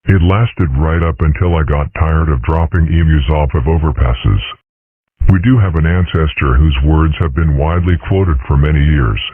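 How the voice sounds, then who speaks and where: Man as very deep, deadpan cartoon dad. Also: husky, no room sound. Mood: calm, scholarly. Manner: measured, deadpan, scholarly. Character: placid, deadpan philosophical cartoon father. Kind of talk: amateur comic-strip dub. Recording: muffled, radio-like lo-fi audio.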